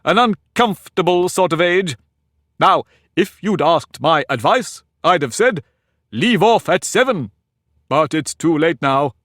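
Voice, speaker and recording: A man's voice: on the high side, animated, measured pace, fairly bright tone, no room sound, studio quality, pitched above the performer's own voice. A man voicing a pompous, touchy talking egg in a storybook reading, boastful and argumentative. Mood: lordly. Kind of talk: audiobook character reading.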